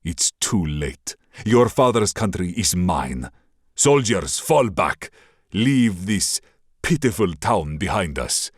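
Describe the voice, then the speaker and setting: A man voicing a cold, scheming warlord prince, slow, mocking and measured. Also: low voice, big pitch swings, no room sound, clean studio sound, full character voice. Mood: menacing.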